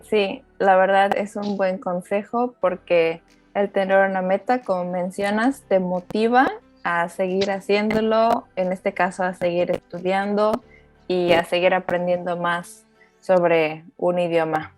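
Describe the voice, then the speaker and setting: A woman hosts a casual interview. She asks open questions and sums up the answers in an encouraging way. Her voice sounds close and dry, with soft music faintly behind. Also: on the low side, fairly even intonation, unhurried, dry recording. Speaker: host. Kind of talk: friendly interview, casual chat.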